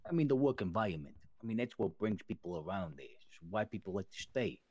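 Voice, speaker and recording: A man's voice: on the low side, big pitch swings, fairly quick, a little mellow, husky, dry recording. A man playing an earnest, long-winded mobster who rambles and speechifies in an improvised online game roleplay. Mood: earnest, measured.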